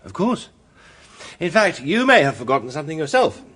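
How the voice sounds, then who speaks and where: An actor playing a suave, sly British defence barrister, self-assured and insistent, in a courtroom radio drama. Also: male voice, a little high, sing-song, fairly quick, fairly bright tone, breathy edge, exaggerated voice. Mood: probing, insistent.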